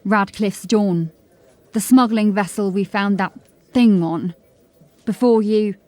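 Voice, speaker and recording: A woman playing a diligent, drily witty police constable in a fantasy audio drama, articulate and measured. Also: mid-range voice, a little mellow, close to an everyday voice. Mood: measured, wry.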